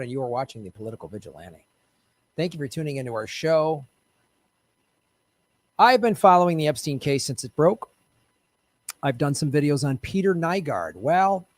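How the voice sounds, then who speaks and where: A man hosting a political commentary show, ranting loudly and profanely and urging viewers to act. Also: a little high, dry recording. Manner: talks straight to viewers, urging them to act.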